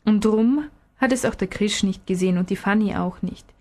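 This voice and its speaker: Storybook father read by a woman, patient, gently probing, explaining things step by step. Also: medium pitch, normal speed, natural voice.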